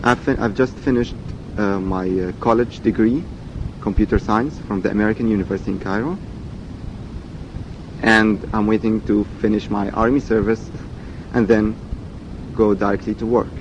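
A man's voice: steady tone, normal speed, long pauses. A man in his twenties from Egypt with an Egyptian accent and Arabic as his first language, reading a set passage and then talking about himself with many fillers and repeated words. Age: 20s. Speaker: dialect archive speaker. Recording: dull recording with loud background rumble.

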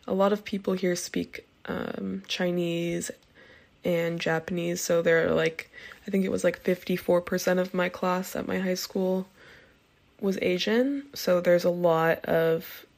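A woman's voice: fairly low pitch, steady tone, a little slow, creaky, a little mellow. A nineteen-year-old woman from California, giving a plain, unadorned dialect sample. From Palo Alto, California. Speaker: dialect archive speaker. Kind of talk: dialect sample reading and talk.

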